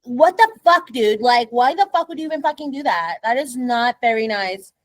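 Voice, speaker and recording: A blunt young woman with a Kenyan accent co-hosts a casual podcast, and her voice sits a touch high. She swears freely and gets animated when she rants. The sound is dry and close to the mic. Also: moderate pace, dry recording. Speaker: podcast co-host. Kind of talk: casual chat.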